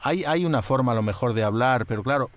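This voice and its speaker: An older man hosts an interview in a mid-range voice. He speaks in measured, explanatory sentences with hesitant fillers. He talks through a headset on a video call. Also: mid-range voice, dry recording. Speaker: interviewer, host. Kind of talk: interview questions.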